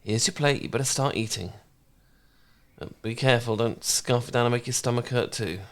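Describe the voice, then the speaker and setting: A man playing a gentle, caring housemate in an intimate ASMR romance roleplay, speaking softly and reassuringly. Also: mid-range voice, smooth, lightly acted. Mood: gentle concern. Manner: soft, reassuring, unhurried conversational delivery. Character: gentle, caring male housemate, shyly fond and easygoing.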